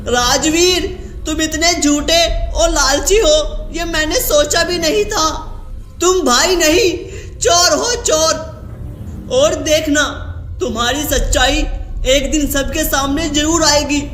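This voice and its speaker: Man acting high-pitched wronged young prince. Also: takes it slowly, fairly bright tone, roomy sound, slight background noise, heavily acted. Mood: hurt, indignant. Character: young prince, honest, indignant at betrayal.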